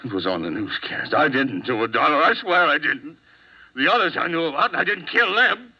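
A man's voice: a little high, very animated, fairly quick, breathy edge. An actor playing a hot-tempered, desperate jealous husband hunted as a murder suspect in a 1950s radio drama, volatile in his delivery. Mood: desperate pleading. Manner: volatile, swinging from menacing outbursts to broken murmurs. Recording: dull, band-limited old 1950s radio recording.

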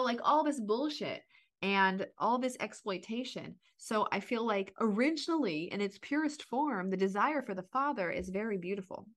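A woman talking in a spiritual podcast chat, energetic and blunt, swearing casually as she explains her ideas. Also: medium pitch.

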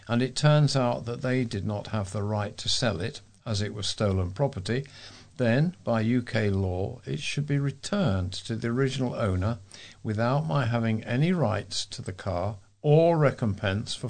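A man reading readers' letters aloud as a volunteer on a local news recording, with a measured delivery and the occasional stumble. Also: mid-range voice, gravelly.

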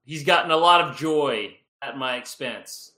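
A man's voice: mid-range voice, unhurried, sharp tone, echoey room. A man in a casual podcast chat who is wry and self-deprecating. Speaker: podcast co-host.

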